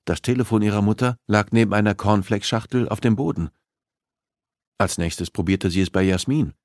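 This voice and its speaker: Male audiobook narrator, fairly low register, dry sound, scripted dramatic suspense storytelling. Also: fairly quick, a little mellow, dry recording.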